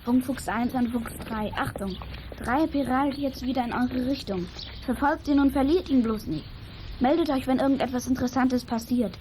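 A bold young detective acted by a woman in a children's radio play, high in pitch and brisk as if giving radio calls. Clear ambient noise runs behind the voice. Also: natural voice. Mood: commanding. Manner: brisk radio-call delivery. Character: bold, alert child detective on a walkie-talkie. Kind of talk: children's audio drama acting. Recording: noisy outdoor ambience behind voice.

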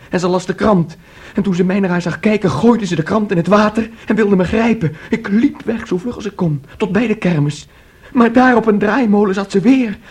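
A nervous, clinging young man in a Dutch radio drama. His voice sits fairly high for a male, a little muted and husky, and he speaks in agitated, halting bursts, naive and boastful by turns. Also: a little mellow. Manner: agitated, halting, repeating himself. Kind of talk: Dutch radio play acting.

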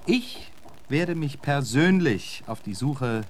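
An indignant, eloquent gentleman poet speaks in a radio play. His mid-pitched delivery swings widely, with pompous, sarcastic flourishes, over faint noise. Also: animated, slow pace. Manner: ornate, pompous, sarcastic phrasing. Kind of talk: German radio drama acting.

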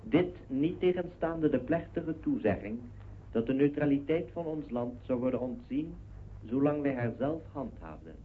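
A man's voice: mid-range voice, lively intonation, normal speed, lightly acted. A man playing a solemn official who reads a formal wartime proclamation on the radio. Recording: noisy, narrow-band old radio recording.

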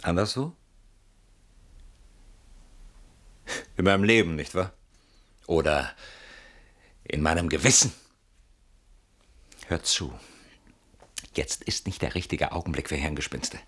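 An actor playing an anxious, defensive husband in a classic German radio play, agitated, stubborn and sardonic, with dramatic pauses and repeated words. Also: male voice, low voice, a bit bright, husky, exaggerated voice. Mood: tense, brooding. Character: anxious, defensive husband barricaded behind his door.

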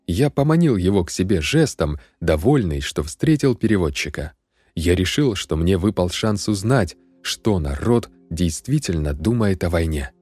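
A man's voice: low-pitched, mellow, dry recording. A man reading a first-person war story aloud with a dry, wry storytelling manner. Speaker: audiobook reader. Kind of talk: scripted first-person narration.

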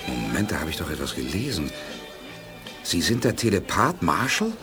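Low-voiced decisive male space hero. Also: sing-song, normal speed. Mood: measured, probing. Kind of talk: 1980s German radio play acting. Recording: music behind studio radio drama.

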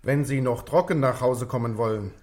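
A man acting a talkative, gently cheeky police assistant addressing his boss in a 1960s radio play. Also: mid-range voice, moderate pace, close to an everyday voice. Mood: chatty, wry. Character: chatty, cheeky, deferential male police assistant.

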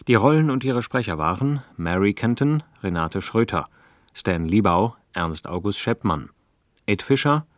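A man's voice: sits low, no room sound, clean studio sound. A man working as a radio announcer, reading scripted credits in a formal style.

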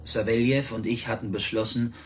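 An actor playing a sober, wary prisoner of a forest work camp, narrating in a literary radio play. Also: male voice, mid-range voice, steady tone, normal speed, natural voice. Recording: loud music behind the voice. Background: instrumental music.

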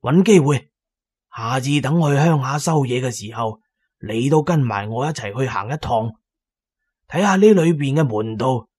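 An actor with a Cantonese accent playing a shrewd, talkative antique dealer in a radio drama, expounding knowingly and with emphasis. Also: male voice, medium pitch, lively intonation, moderate pace, a little mellow, breathy edge. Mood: frank, advising.

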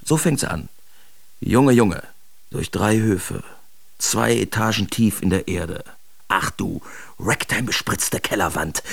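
An actor playing a brash, mocking male Dadaist artist, reciting theatrically in an expressive radio drama. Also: on the low side, creaky, heavily acted. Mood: boozy, wry.

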